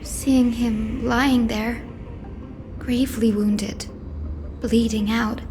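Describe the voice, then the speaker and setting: High-voiced, kindly young heroine. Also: female voice, noisy background. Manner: gentle, sincere, caring. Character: kind, optimistic, earnest young female healer. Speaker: voice actor in a video game. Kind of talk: scripted game dialogue and narration. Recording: music behind the voice.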